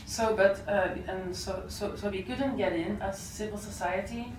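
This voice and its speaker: Woman activist, halting and repetitive, heard from across a noisy, echoey room. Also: medium pitch, far from the mic. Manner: hesitant, repeats words, restarts. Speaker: activist speaker at post-film discussion. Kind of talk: casual panel discussion.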